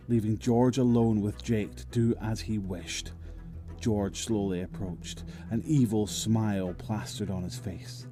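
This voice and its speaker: A man narrating an audio drama, reading scripted dramatic prose. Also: on the low side. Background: music.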